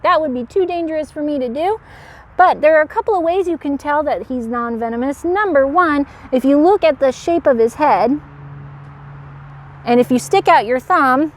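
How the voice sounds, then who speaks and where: A woman presenting as a park educator to children, with an animated manner, explaining and putting questions to young viewers. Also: fairly high pitch. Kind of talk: animated educational read-aloud and animal demonstration.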